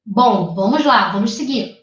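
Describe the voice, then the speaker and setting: A woman with a Carioca accent lectures in a chatty way and keeps checking in with her listeners. Her voice sits a little high. The recording is distant, dull and roomy. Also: expressive, unhurried. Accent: Carioca Brazilian Portuguese. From Rio de Janeiro, Brazil. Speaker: teacher. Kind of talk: live online exam-prep lecture.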